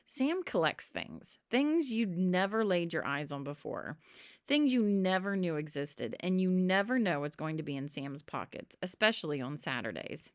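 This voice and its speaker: Animated woman reading a children's book. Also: lowish voice, normal speed, no room sound, studio quality. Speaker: storybook reader. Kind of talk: expressive children's book narration.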